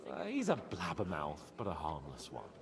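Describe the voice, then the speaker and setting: A cocky, animated medieval nobleman. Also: a bass voice, normal speed, gravelly, a crowd in the background, full character voice. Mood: wry. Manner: teasing, animated. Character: cocky, jovial medieval nobleman, wry and pleasure-loving. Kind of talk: video game dialogue acting.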